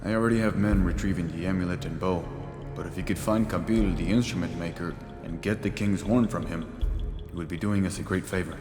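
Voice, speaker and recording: A man's voice: low voice, fairly even intonation, fairly quick, over music, natural voice. An actor voicing a dutiful rebel guardsman and surrogate father, speaking in an earnest, respectful, formal way in a video game cutscene. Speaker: rebel soldier at his post. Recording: echoey, reverberant game mix.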